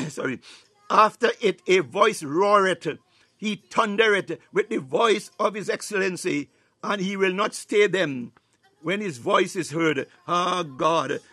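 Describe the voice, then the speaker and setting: A man's voice: high-pitched, slow, bright, forceful tone, breathy edge, no echo. A man preaching a fervent charismatic sermon and prayer, breaking his phrases with repeated exclamations.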